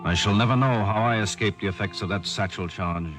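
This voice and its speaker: A man's voice: lowish voice, a little fast, over music, natural voice. An actor playing a grave, resolute commando, telling his war story in dramatic 1940s radio style. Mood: grim. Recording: old 1940s radio recording.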